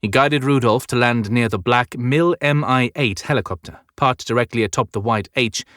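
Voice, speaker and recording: Male audiobook narrator, middle pitch, dramatic scripted read, very dry studio sound. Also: normal speed, a bit bright, no echo.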